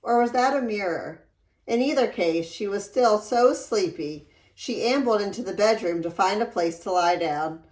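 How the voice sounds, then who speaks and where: A woman reading a children's storybook aloud in an expressive, deliberate storytelling manner. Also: medium pitch. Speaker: read-aloud narrator. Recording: roomy echo.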